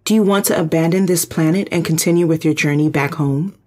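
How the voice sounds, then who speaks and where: A spaceship's artificial intelligence, voiced by an actor in a sci-fi audio drama. The voice is mid-pitched and slightly husky, delivering reports in a calm, formal, precise way. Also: close to an everyday voice. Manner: formal, measured, literal.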